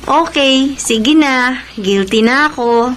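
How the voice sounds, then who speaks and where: A woman acting a sweet, lovelorn young woman in a Filipino radio drama, with emotive, drawn-out delivery and a Filipino accent. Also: sits high, fairly bright tone, slight background noise. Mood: playful, teasing. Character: young woman Jessica, sweet, torn, pining for absent love.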